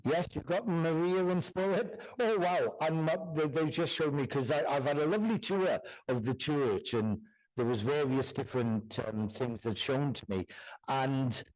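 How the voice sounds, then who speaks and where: A man's voice: fairly high pitch, normal speed, darkish tone, low-quality recording. A man working as a guest medium in a church service, speaking to audience members with frequent repetitions and "do you understand?" check-ins.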